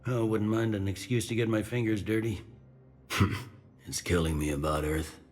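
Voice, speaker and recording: Actor as a deep-voiced, gruff, jaded cop. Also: male voice, fairly even intonation, normal speed, darkish tone, soft background music, close to an everyday voice. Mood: weary grumbling. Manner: blunt, colloquial. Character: gruff, jaded human police officer in a sci-fi game.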